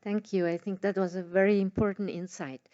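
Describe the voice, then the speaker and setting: A woman's voice: medium pitch, level tone, a little fast, dark tone, no room sound, muffled recording. A woman moderating a forum Q&A, thanking speakers briefly and courteously.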